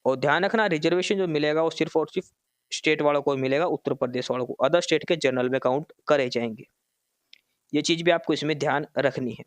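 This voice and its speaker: A man's voice: fairly high pitch, quick pace, no room sound. A man presenting an informal explanatory walkthrough for students, instructive and repeating key points. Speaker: presenter explaining a job notification.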